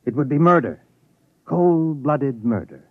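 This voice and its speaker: An actor playing a shaken spaceship crewman tormented by conscience, halting and brooding, in 1950s radio drama style. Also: fairly high pitch, animated, dry recording. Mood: shaken, guilty. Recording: muffled, noisy vintage radio recording.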